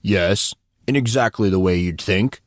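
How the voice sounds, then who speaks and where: A man's voice: lowish voice, unhurried, dry recording, natural voice. A glum, indignant teenage boy played by a male audiobook reader, blunt and touchy in how he talks. His voice is recorded dry and close. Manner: sullen, blunt.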